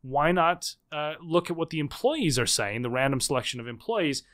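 A man in his thirties, a book author, explaining his ideas in a podcast interview with lively restarts. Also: fairly high pitch. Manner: animated, fast explaining with restarts. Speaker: guest author.

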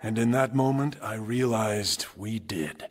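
A man playing a wry, wistful, world-weary survivor in a video game, musing conversationally in a husky voice. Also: on the low side, unhurried. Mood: wistful recollection. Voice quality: husky, rough-edged. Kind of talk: video game cutscene acting.

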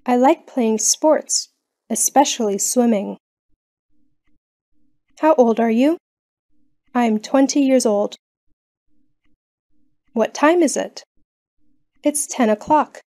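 A woman reading common questions and answers slowly for English learners. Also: on the high side, warm tone. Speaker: English lesson reader.

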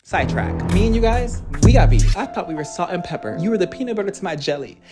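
A man's voice: high voice, very animated, quick pace. A young man vlogging casually and comedically in a sassy, slangy, theatrical way. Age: young adult. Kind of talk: casual comedic vlog talk. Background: music.

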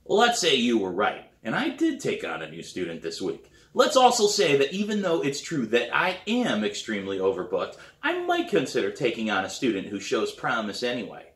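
A man's voice: mid-range voice, wildly swooping pitch, moderate pace, hardly any pauses, a bit bright, voice pushed up. A man voicing a crabby, skeptical middle-aged drum teacher in a storybook reading, dry and dismissive. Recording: roomy, echoey living room.

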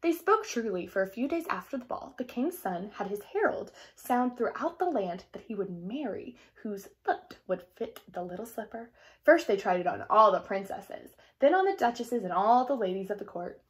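A young woman reading a children's story aloud in an expressive, theatrical manner. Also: medium pitch, normal speed. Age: young adult. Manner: theatrical, explanatory asides. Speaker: storybook reader. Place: small indoor room at home.

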